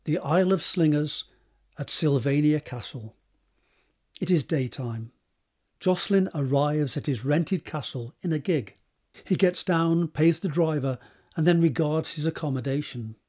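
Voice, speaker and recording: A man narrates a drama script, setting scenes and describing action. His voice sits a little high for a man and has a husky, unpolished grain. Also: unhurried, darkish tone. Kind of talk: scripted narration of stage directions.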